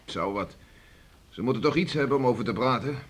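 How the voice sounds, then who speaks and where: An actor playing a hearty, gruff farmer and beachcomber father in a Dutch radio drama, talking in a bluff, teasing way. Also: medium pitch, fairly quick, natural voice. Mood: bluff, easygoing. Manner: bluff, teasing, chuckling, colloquial.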